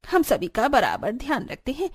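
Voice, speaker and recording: A woman's voice: sits high, huge pitch swings, moderate pace. A woman playing a chatty, bossy village mother-in-law. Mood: brisk, chiding. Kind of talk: Hindi cartoon story dialogue. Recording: music behind the voice.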